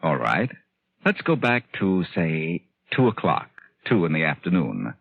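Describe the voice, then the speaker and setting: An actor playing a smug, sinister, theatrical plotter with a husky voice in a 1940s radio drama. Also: male voice, low-pitched, sing-song, no room sound, heavily acted. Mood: brisk, sinister. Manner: deliberate, theatrical, knowing. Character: smug, sinister male plotter guiding a murder story. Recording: muffled, tinny 1940s radio recording with music.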